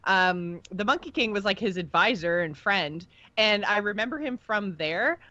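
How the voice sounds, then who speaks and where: Chatty gal co-hosting a game lore podcast, super casual, dry room, bit muffled sound. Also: female voice, mid-range voice, lively intonation, normal speed, bright, forceful tone, dry recording. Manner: chatty, informal. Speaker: podcast co-host.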